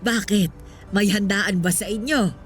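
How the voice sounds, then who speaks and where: A woman's voice: mid-range voice, measured pace, lightly acted. A woman playing a candid, reflective elderly wife in a Filipino radio drama, giving motherly advice. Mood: casual. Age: older. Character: elderly poor wife reminiscing on love and regrets. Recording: music playing under the voice.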